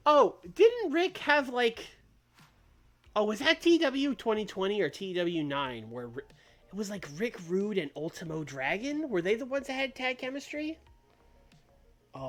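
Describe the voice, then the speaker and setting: A man casually thinking out loud while streaming a simulation game, rambling, restarting his sentences and laughing at himself. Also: high-pitched, big pitch swings, a little slow, pauses often. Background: faint background music.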